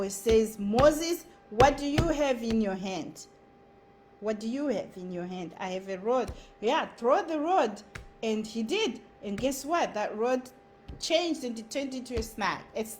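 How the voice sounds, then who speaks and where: A woman's voice: a little high, lively intonation, measured pace, faint music behind. A woman with a Zimbabwean accent giving an earnest motivational talk as a content creator. She drives her points home by repeating short phrases.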